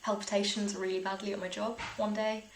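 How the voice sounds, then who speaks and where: A young woman with a British accent chats informally, like a vlogger sharing personal experiences. Her pitch sits in the middle of the female range. The room sounds echoey, with faint noise behind her. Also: level tone, a little fast. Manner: chatty, informal, with small laughs through sentences. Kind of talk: casual personal storytelling to camera. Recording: roomy echo, faint background noise.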